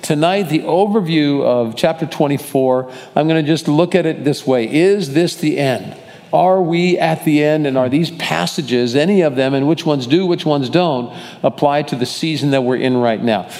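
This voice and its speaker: A man's voice: fairly high pitch, normal speed. Guy pastor teaching bible study, chatty and kinda rambling, restarts a lot, church hall echo. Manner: warm, rambling, self-correcting delivery. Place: church sanctuary with congregation. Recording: long hall reverb.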